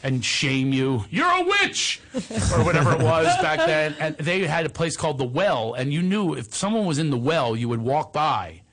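A deep-voiced man spinning comic tales in a relaxed chat, his pitch leaping and swooping as he acts out every character. His tone has a slight husk to it, and laughter keeps breaking into his stories. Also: normal speed. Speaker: guest telling stories.

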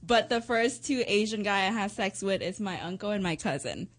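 A woman's voice: mid-range voice, lively intonation, moderate pace. A woman talking candidly and unabashedly as a guest in a casual talk show chat.

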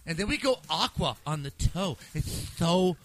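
A man's voice: on the high side, expressive, moderate pace, fairly bright tone, faint music behind. A man hosting a casual sports picks show, talking in a playful, slangy and sometimes profane way. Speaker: sports podcast host. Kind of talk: casual sports pick banter.

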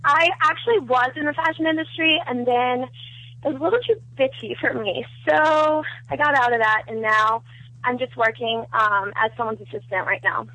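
A woman's voice: a little high, normal speed, bright, forceful tone, dry recording, poor audio. A woman from New York City calling in to a radio show, eager and chatty. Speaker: caller. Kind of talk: phone-in call to a radio show. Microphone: telephone.

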